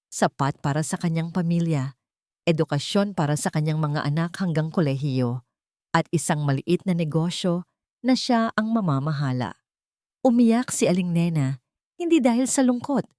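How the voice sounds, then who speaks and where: Low-voiced woman narrating in a dry studio sound. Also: darkish tone, dry recording. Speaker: audio story narrator. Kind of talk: scripted dramatic narration of a sentimental story.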